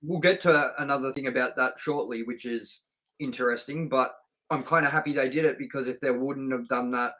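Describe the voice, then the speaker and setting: A man's voice: medium pitch, steady tone, fairly quick, a bit bright, low-quality recording. A middle-aged man co-hosting a casual, sweary film review, speaking in an emphatic, opinionated way. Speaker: co-host of a film review show.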